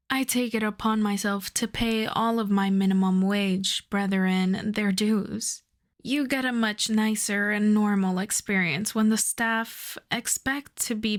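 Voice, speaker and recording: A woman playing a suave, self-assured female supervillain in a roleplay audio drama, flirting and teasing in an unhurried way. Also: medium pitch, natural voice. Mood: confident, coaxing. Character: suave flirtatious female supervillain courting her costume maker.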